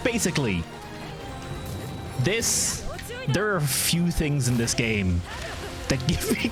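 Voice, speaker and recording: Easygoing Irish man from Meath. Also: mid-range voice. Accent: Irish, County Meath. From Ireland. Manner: easygoing, rambling, answers chat, frequent restarts. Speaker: game streamer. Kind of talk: casual live-stream chat while gaming.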